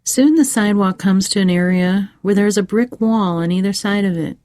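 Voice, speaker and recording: A woman narrating a scripted guided meditation for children in a measured, soothing way with pauses. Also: mid-range voice, warm tone, dry recording, studio quality.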